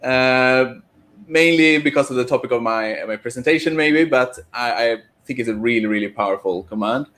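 A man's voice: medium pitch, normal speed, a bit bright. A man, chatting casually as a guest on a video call. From Sweden. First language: Swedish. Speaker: guest speaker, software developer. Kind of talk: casual live-stream chat. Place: indoor home office, on a video call.

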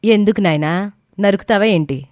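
A woman voicing a sharp-tongued, exasperated mother-in-law who scolds sarcastically in an audio story. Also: mid-range voice, normal speed, no room sound, clean studio sound, close to an everyday voice.

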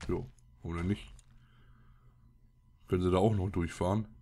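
A man's voice: low-pitched, fairly even intonation, normal speed, warm tone. A man with a cold and a scratchy throat, giving casual, colloquial gameplay commentary as he mutters to himself. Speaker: let's play host.

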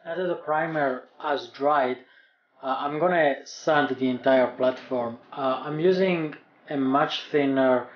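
A man's voice: mid-range voice, measured pace, far from the mic, dull audio. A man in his thirties, a home cockpit builder, explaining each step of a DIY job as he works. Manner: explains steps plainly as he works. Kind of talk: DIY tutorial narration. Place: indoor room at home. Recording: roomy echo, voice off-mic.

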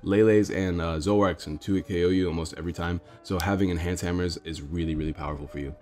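A man's voice: sits low, steady tone, quick pace, darkish tone, soft background music. A young man with a stuffed-up nose casually explaining his card deck to viewers, rambling and talking to them as guys. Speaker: card game streamer. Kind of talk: casual deck tech commentary.

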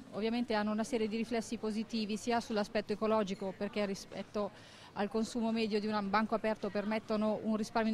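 A woman in her forties, a marketing director, presenting in a measured, businesslike way with frequent hesitations, speaking into a handheld mic inside a supermarket. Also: medium pitch, darkish tone. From Italy. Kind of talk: corporate presentation.

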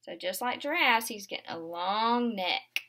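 A woman's voice: mid-range voice, expressive, a little slow, bright, forceful tone, a little hiss or hum. A woman in her twenties, a kindergarten teacher, reading a picture book aloud expressively and explaining it simply for young children. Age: 20s. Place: small furnished room indoors.

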